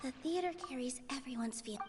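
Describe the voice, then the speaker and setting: Very high-voiced earnest young dancer girl. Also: lively intonation, fairly quick, noisy background. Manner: earnest, articulate, polite. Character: earnest, gentle young female theater dancer. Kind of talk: scripted video game voice acting.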